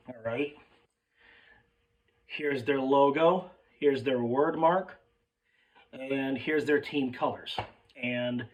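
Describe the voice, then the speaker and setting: A young man with a hoarse, cracking voice telling a rambling, sidetracked story in casual chat, swearing freely and calling his listeners folks. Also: mid-range voice, distant mic, low-quality recording. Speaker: sports fan vlogger.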